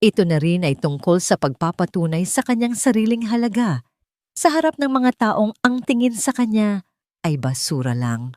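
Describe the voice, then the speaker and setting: A woman's voice: mid-range voice, big pitch swings, normal speed, warm tone, no echo, studio quality. A woman reading a scripted dramatic story as a narrator. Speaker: audiobook narrator.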